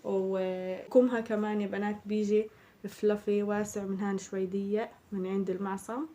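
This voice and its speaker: A young woman with a Palestinian Arabic accent, chatting casually through a shopping haul, her voice slightly hoarse from a cold. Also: mid-range voice, flat delivery, normal speed, mellow, slight background noise. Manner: chatty, addresses viewers as girls. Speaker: vlogger.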